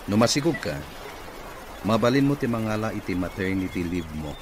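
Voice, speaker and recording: A man's voice: lowish voice, animated, fairly quick, noisy background, close to an everyday voice. A man acting a blunt, stern office boss in an Ilocano radio comedy drama.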